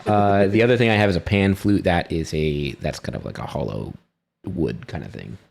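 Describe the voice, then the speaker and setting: A man's voice: sits low, normal speed, creaky, darkish tone. A man chatting casually as a player in a tabletop game.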